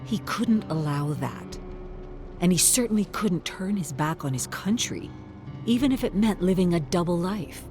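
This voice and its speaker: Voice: medium pitch, animated, normal speed, darkish tone. Dramatic story narrator over music. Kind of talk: dramatic scripted audiobook-style narration.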